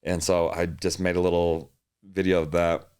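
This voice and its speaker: Very deep-voiced easygoing man. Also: little pitch movement, moderate pace, a little mellow, smooth. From Hawaii. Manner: easygoing, laughs often. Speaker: guest, fitness coach. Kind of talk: casual interview chat.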